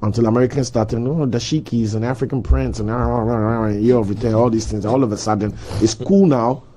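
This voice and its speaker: A man's voice: medium pitch, fairly even intonation, fairly quick, warm tone, a little hiss or hum. A man with a Ghanaian accent speaking as a panelist in an opinionated discussion, explaining his points emphatically. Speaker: panelist on a culture talk show.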